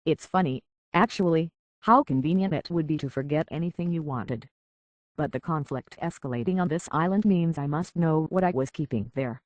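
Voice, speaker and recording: An actor playing a weary, guilt-burdened synth leader in a video game, speaking softly in a measured, grave, philosophical way. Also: male voice, on the high side, dry recording, highs cut off, lightly acted. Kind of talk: scripted video game character dialogue.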